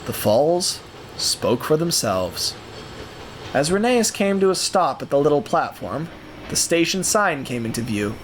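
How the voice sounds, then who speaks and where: A man narrating a scripted story in a theatrical, measured way, with deliberate pauses. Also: on the high side, sing-song, a little hiss or hum.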